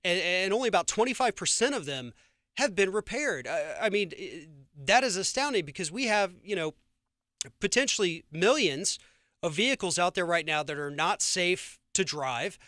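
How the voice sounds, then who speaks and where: An American male radio host with a high, forceful voice, recorded close and dry. He comments with indignant emphasis, often tripping into repeated words as he makes his point. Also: animated, normal speed, no echo.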